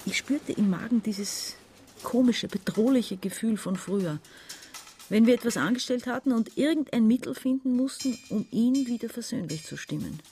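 Resentful daughter, faint background noise. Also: female voice, a little high, animated, dark tone. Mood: uneasy. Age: middle-aged. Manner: measured, confessional narration. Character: resentful, calculating daughter in her forties, haunted and struggling. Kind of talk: radio drama monologue as testimony. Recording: ambient noise behind the voice.

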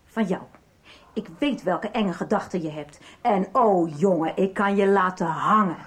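A woman's voice: medium pitch, unhurried, natural voice. An actress in a 1990s radio soap playing a menacing, scornful woman who taunts and commands as she presses a man for information. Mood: threatening, scornful.

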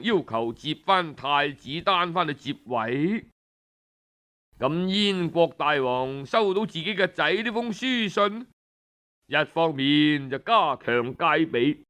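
A man's voice: on the high side, bright, forceful tone, clean tone. A man with a Cantonese accent narrating a historical tale in a conversational, traditional oral storytelling manner. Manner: conversational oral telling with frequent sentence-ending particles.

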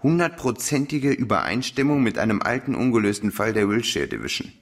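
An actor playing a wary, wry police lab technician with a dry, guarded manner in a German radio crime drama. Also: male voice, on the low side, big pitch swings, brisk.

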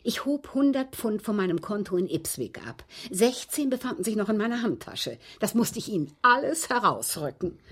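An actress playing a genteel, aggrieved widow in a German radio drama, speaking in precise, formal, dramatic phrases with a husky voice. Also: female voice, mid-range voice, very animated, normal speed, hardly any pauses. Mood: matter-of-fact. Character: genteel, aggrieved widow recounting her captivity.

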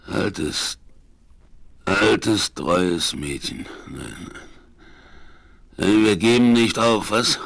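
An actor playing a grizzled, stubborn old frontiersman in a German western radio play, with a rough, folksy, emphatic delivery. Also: fairly low pitch, sharp tone, full character voice. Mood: weary, tender. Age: older. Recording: 1970s vinyl radio play.

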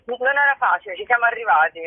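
Woman on the phone, kinda high voice, chatty interview guest, hesitates a lot, very tinny dry line. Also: animated, quick pace, breathy edge. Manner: hesitant, searching for words, chatty. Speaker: interview guest, performer and writer. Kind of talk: casual radio interview. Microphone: phone call. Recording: thin, band-limited telephone line.

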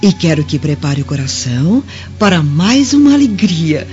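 A woman's voice: sits low, sing-song, moderate pace, darkish tone, soft background music. A woman playing a devoted, pious young maidservant in a radio drama, respectful and earnest. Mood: joyful. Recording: dull lo-fi radio drama recording.

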